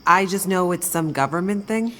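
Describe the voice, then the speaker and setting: A woman's voice: lowish voice, expressive, moderate pace, fairly bright tone, slight background noise. Anxious, questioning younger woman. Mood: uncertain. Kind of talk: video game cutscene acting.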